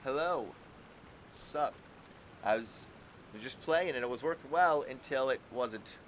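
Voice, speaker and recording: A man chatting casually as he livestreams a game, halting and repeating his words, with mild exclamations. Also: mid-range voice, fairly bright tone, a little hiss or hum, low-quality recording. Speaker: game streamer. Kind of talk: casual livestream chat and commentary.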